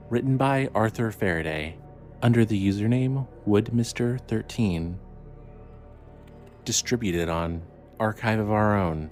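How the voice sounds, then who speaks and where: A man's voice: fairly low pitch, darkish tone. Male story narrator over music. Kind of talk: scripted audio drama narration.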